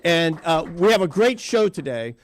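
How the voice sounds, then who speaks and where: A man's voice: a little high, big pitch swings, normal speed. A man hosting a radio talk show, a bit nasal from allergies, asking long rambling questions in a casual, upbeat way. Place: radio studio.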